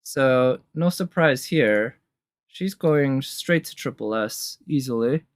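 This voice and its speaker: A man's voice: medium pitch, expressive, slow, clean tone, dry recording. A man giving casual live commentary as a streamer, enthusiastic and thinking aloud in fragments. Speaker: streamer reviewing game trailers. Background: game trailer music.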